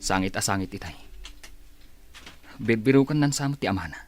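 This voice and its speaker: A man playing a steady, conciliatory village father in a radio drama, speaking in a measured, reassuring way. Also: lowish voice, soft background music, lightly acted. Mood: soothing. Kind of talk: radio drama acting in Ilocano.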